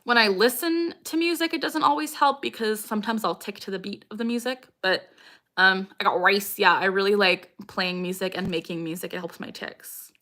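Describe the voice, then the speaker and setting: Young woman in her 20s, bright voice, chatting on a livestream, lots of vocal tics and giggles. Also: mid-range voice, steady tone, moderate pace. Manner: frequent vocal tics and bursts of laughter; chatty, greets viewers by name. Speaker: livestream host. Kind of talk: casual livestream Q&A, reading chat aloud.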